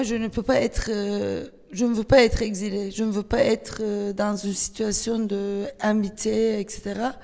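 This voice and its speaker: A woman with a Turkish accent, a native Turkish speaker, speaking at a festival panel, hesitant, with many fillers and restarts, animated when she tells a story. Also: mid-range voice, gravelly. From Turkey. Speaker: panel guest, writer. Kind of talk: panel discussion. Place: festival hall. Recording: long hall reverb.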